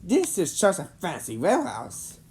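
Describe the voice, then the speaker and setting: A woman's voice: lowish voice, wildly swooping pitch, moderate pace, a little mellow, husky, pitched above the performer's own voice, exaggerated voice. A woman voicing a boastful, stubborn boy genius in a storybook, exclaiming and insisting. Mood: suspicious.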